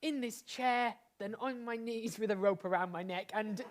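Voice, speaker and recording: British male host for live improv comedy. Very high-pitched, excitable, rambling and effusive. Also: moderate pace. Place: indoors, live online show.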